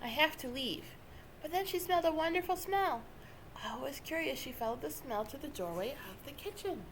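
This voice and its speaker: Woman, high pitch, very animated children's story narration, dramatic phrasing, noisy recording. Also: brisk. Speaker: storybook reader.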